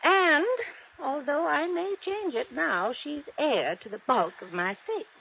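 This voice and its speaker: An actress playing a wealthy, dramatic widow in an old-time radio drama, guarded and dismissive in manner. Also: female voice, high-pitched, animated, measured pace, gravelly, heavily acted. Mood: dismissive, confiding. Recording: noisy, muffled vintage radio recording.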